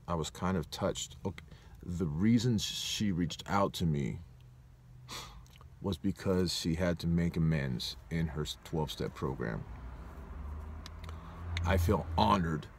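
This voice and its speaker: A man in his forties from Southern California talks through a personal memory in a low voice. He rambles, stops to correct himself and reflects as he goes, in a casual vlog manner. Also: unhurried, long pauses, a little hiss or hum. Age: 40s. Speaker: vlogger.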